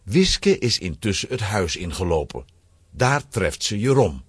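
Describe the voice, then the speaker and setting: A man's voice: fairly low pitch, very animated, measured pace, a bit bright, breathy edge, no echo. A man narrating a children's audio drama from a script, as a storyteller.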